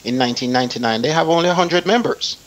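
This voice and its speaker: A man's voice: on the high side, fast, poor audio. A man in casual panel discussion, often repeating words as he makes his point. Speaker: talk show panellist.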